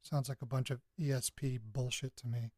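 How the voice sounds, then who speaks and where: An actor playing a jittery, bitter young man in an audio drama, speaking in a halting, sardonic, conversational way. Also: medium pitch, fairly even intonation, dark tone, no echo, studio quality, lightly acted. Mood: weary, skeptical. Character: jittery, bitter young man investigating his grandparents' past. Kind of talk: audio drama, naturalistic spoken recorded diary.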